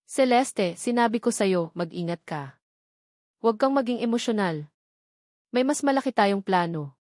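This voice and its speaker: A calm, calculating man from a romance storybook, read by a woman narrator. He is controlling and cautioning. The sound is dry and free of echo. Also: medium pitch, normal speed, lightly acted. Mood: cool warning. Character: calm, calculating male schemer, controlling accomplice.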